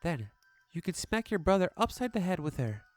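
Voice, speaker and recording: Woman as gentle mother with lilting voice. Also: lowish voice, very animated, moderate pace, dry recording, faint music behind. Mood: soothing. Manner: soothing, earnest. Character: gentle, reassuring mother soothing her young daughter. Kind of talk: dramatised horror story reading.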